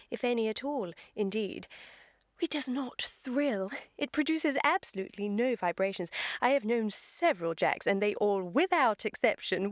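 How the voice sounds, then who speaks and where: A woman's voice: medium pitch, no room sound, studio quality, close to an everyday voice. A woman playing a self-assured, romantic young upper-class English lady in a comic radio play, speaking in a precise, affected way. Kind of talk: theatrical comedy-of-manners radio acting.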